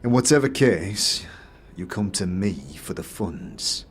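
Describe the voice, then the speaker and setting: Casting: male actor as smug, calculating fantasy-game moneylender; low register, sneering condescension, lines drawn out with relish. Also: animated, a little hiss or hum. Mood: businesslike. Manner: condescending, drawn-out, measured delivery. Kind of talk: fantasy game audio series acting.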